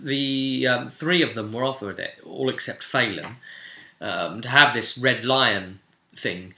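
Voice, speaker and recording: Bright-voiced man in an echoey room. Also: medium pitch. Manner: rambling, conversational asides. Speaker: game master recapping a tabletop role-playing session. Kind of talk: casual spoken story recap, vlog. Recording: roomy, echoing voice recording.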